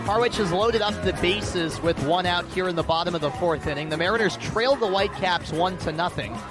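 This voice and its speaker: American male play-by-play announcer, high-pitched, punchy and detailed, with music behind him. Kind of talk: live radio sports commentary.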